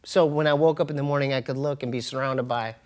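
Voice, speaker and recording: A man's voice: mid-range voice, steady tone, a little mellow. Casual, slangy male storyteller. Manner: informal, slangy, halting with repeated phrases. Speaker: interview guest, comedian and podcast host.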